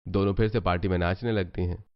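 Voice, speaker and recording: A man's voice: low voice, steady tone, fast talker, muffled recording. A man reading scripted storybook narration. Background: background music.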